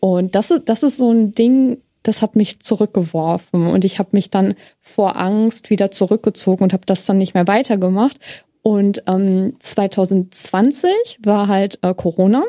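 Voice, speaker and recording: A woman's voice: mid-range voice, normal speed, warm tone, no room sound, studio quality. A woman who streams, talking casually and candidly as an interview guest, often searching for her words. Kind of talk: casual personal storytelling in an interview.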